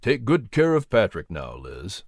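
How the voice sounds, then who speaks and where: A narrator voicing a kindly, calm male preacher who mentors gently in a measured, encouraging way. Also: medium pitch, dry recording, clean studio sound, full character voice. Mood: kindly, reassuring.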